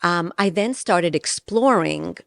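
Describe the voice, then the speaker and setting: A woman's voice: fairly low pitch, expressive, slow pace, gravelly, no echo, studio quality. A middle-aged woman from Israel and New York, an interview guest explaining her work in an earnest, conversational way. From born in Israel, raised in New York from age ten.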